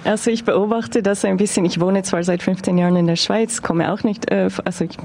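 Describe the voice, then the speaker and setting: Flat, gravelly-voiced woman non-native speaker. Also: mid-range voice, a little hiss or hum. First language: not German. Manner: measured, with frequent fillers. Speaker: interview guest, film observer. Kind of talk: interview answers.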